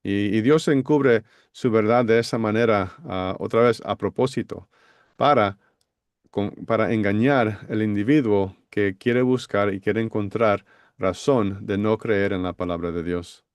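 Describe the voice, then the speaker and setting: A man's voice: on the low side, unhurried, clear, resonant tone, dry recording, highs cut off. A man explaining Bible passages on a podcast, hesitant with many fillers. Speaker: Bible teacher.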